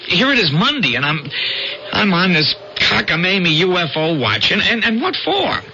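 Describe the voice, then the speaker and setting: An actor playing a harried young small-town reporter, an eager schemer who stammers and gets exasperated, in an old-time radio drama. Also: male voice, high-pitched, huge pitch swings, moderate pace, sharp tone, heavily acted. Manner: stammering, flustered. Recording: noisy muffled vintage radio broadcast.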